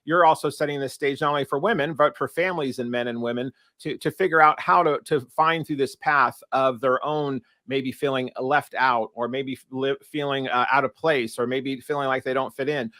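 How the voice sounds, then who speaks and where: A man's voice: mid-range voice, fairly even intonation, moderate pace, few pauses, bright, forceful tone, dry recording. A man hosting a business podcast, asking long, winding questions with fillers and restarts. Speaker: podcast host.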